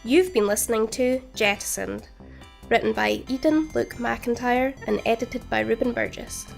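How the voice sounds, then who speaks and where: Very creaky-voiced female announcer. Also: a little high, normal speed, soft background music. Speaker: credits announcer. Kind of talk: scripted narration.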